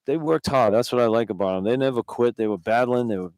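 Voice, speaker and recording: A man's voice: medium pitch, fast talker, a little mellow, dry recording. An older man, a former player working as a radio color analyst, giving plainspoken, casual post-game analysis and often repeating words as he goes.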